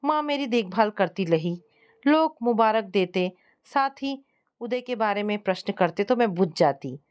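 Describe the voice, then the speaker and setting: A woman's voice: mid-range voice, lively intonation, unhurried, darkish tone, smooth. Woman narrating a scripted domestic story.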